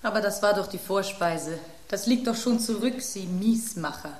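A woman's voice: mid-range voice, normal speed, roomy sound, natural voice. An actress playing a vain rose who calls herself queen of the flowers, lecturing in an affected, haughty way in a radio play.

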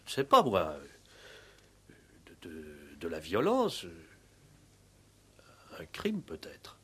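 A man's voice: deep voice, wildly swooping pitch, takes it slowly, bright, forceful tone, husky, full character voice. An actor playing a worried village mayor in a radio play, flustered and self-important, stammering and repeating his words. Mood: anxious, urgent. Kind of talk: 1960s French radio play acting.

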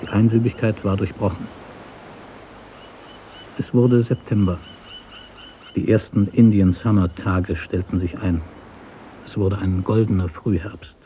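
A man narrating a literary story for radio in a measured, deliberate way. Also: on the low side, steady tone, warm tone, slight background noise.

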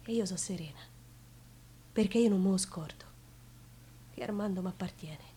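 A woman's voice: mid-range voice, slightly rough. An actress playing a passionate, plain-spoken working-class woman with a Roman accent, recalling her love in an intimate theatrical monologue. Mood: hushed grief. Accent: Roman Italian. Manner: intimate, emotional storytelling with expressive pauses. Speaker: stage actress.

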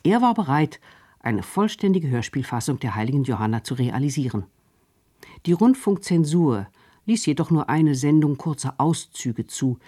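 Man, radio narrator, scripted, formal, measured, mid pitch. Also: mellow.

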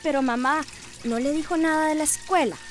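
Casting: actress as cheeky teenage daughter for radio drama, high young voice, speaks her mind. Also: fairly quick, soft background music, natural voice. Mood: insistent. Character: outspoken teasing teenage daughter in a village family. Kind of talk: radio soap opera acting.

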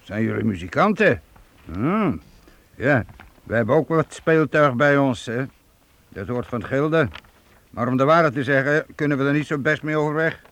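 A man's voice: medium pitch, expressive, moderate pace, fairly bright tone, no echo. An actor playing a brash, proud medieval townsman who leads guild archers, blunt and mocking, in a radio play. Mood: relaxed, friendly. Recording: faintly noisy old radio play recording.

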